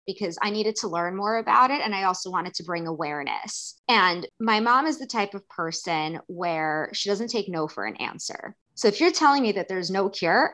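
Earnest, animated New woman. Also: lowish voice. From New York. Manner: earnest and animated, acts out quoted dialogue. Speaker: guest, daughter and caregiver. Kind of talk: candid interview conversation.

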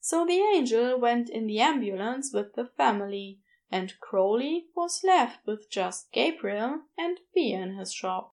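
Woman reading a story aloud, audiobook style, quite high voice, slow pace. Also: expressive, darkish tone. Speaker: podfic narrator. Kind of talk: scripted fiction narration.

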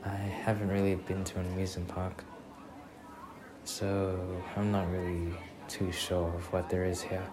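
A young man playing a shy, sweet student boyfriend on a first date in a soft-spoken ASMR roleplay, speaking in a hesitant, bashful way. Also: sits low, completely flat delivery, a little slow, a crowd in the background. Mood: casual, slightly nervous.

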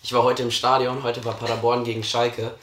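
A hoarse teenage boy co-hosting a podcast, chatting casually and joking with many restarts. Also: medium pitch, flat delivery, a little fast, a bit bright, slight background noise. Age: teens. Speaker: podcast co-host. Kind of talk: casual chat. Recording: roomy echo.

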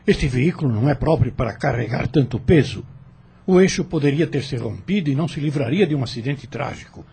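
An actor playing a stern, authoritative landowner in a radio drama, curt and commanding. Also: male voice, medium pitch, expressive, fairly quick, creaky, mellow, slight background noise.

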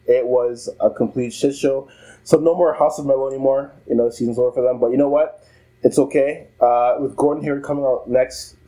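A young man with a mellow, warm voice co-hosts a casual basketball podcast. He talks bluntly, swears freely and laughs often. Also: medium pitch, poor audio. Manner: blunt, profane, laughs easily. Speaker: podcast co-host. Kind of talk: casual sports chat.

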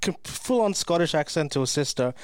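A man from India chatting casually as a co-host, talking in quick bursts full of "like" and restarts. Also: fairly high pitch, lively intonation, clean tone, no room sound, studio quality. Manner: fast, filler-heavy, full of likes and restarts. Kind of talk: casual chat.

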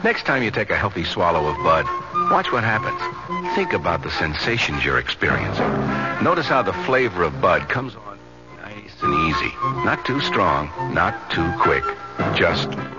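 A man's voice: low voice, big pitch swings, moderate pace. A man reading a conversational 1970s radio commercial as an announcer. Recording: dull 1970s radio recording, loud music. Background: music.

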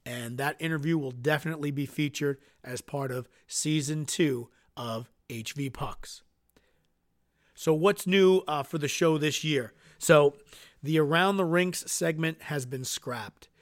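A man's voice: on the high side, unhurried, no room sound, clean studio sound. A man hosting a solo podcast, earnest and dotted with uhs, sounding nasal from a cold. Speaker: podcast host.

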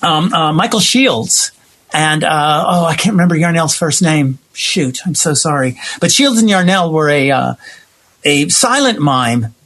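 A middle-aged American man explaining things in an animated, conversational way, with a theatrical storyteller's habit of acting out little quotes. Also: a little high, unhurried. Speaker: guest, theater playwright, actor and director.

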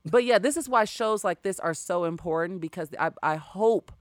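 A woman's voice: fairly low pitch, normal speed, dry recording. A woman hosting a casual podcast chat, speaking emphatically and with sass into a close studio mic. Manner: emphatic and sassy. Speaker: podcast host.

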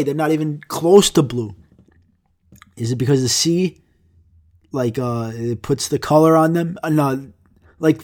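Sick young man rambling profanely. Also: a little high. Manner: profane, rambling, deadpan jokey. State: sick, congested. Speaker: podcast host. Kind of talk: casual rambling monologue.

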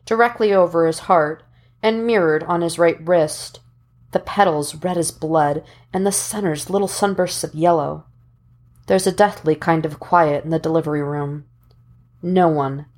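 A woman narrating fan fiction as an audiobook reader, in measured, deliberate phrases with dramatic pauses. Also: low-pitched, a little mellow.

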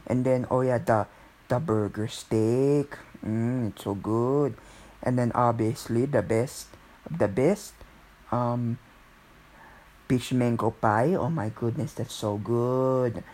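A man hosting his own podcast in a Filipino accent, chatting loosely and gushing, often trailing off to hunt for a word. He talks at an unhurried, drawn-out pace, and his tone is soft and mellow. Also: mid-range voice, fairly even intonation, low-quality recording. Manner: rambling, gushing, searches for words aloud. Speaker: podcast host. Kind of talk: solo casual podcast chat.

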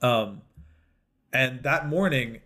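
A man's voice: mid-range voice, slow pace, sharp tone. A man co-hosting a podcast, chatting casually and animatedly, laughing often and restarting his sentences. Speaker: podcast co-host. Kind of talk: casual chat recapping a reality show.

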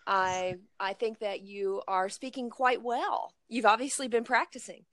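A woman's voice: a little high, fairly even intonation, measured pace. Chatty Appalachian woman in her forties. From Blue Ridge Mountains, United States. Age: 40s. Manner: encouraging, chatty, warm praise. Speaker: conversation partner. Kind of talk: casual conversation practice.

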